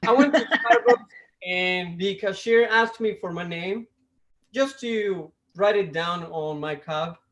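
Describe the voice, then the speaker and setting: A man from Costa Rica with a Costa Rican Spanish accent, doing a stand-up comedy set over a video call, with a deliberate delivery that stops and restarts. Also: sits high, fairly bright tone. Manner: deliberate, with restarts and self-amused laughs. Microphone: video call mic.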